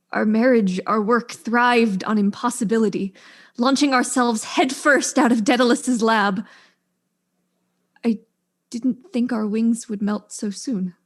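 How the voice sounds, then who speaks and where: Actress as proud widow and scientist, slightly high voice, measured, articulate, mystery drama. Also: female voice. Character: widowed scientist wife, proud, composed, devoted to work. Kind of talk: acted mystery audio drama.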